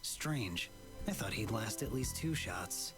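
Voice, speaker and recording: An actor playing an aloof, ruthless mercenary in a fantasy visual novel, terse and deadpan. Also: male voice, lowish voice, slow, music in the background. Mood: cold, deadpan. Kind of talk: visual novel character acting.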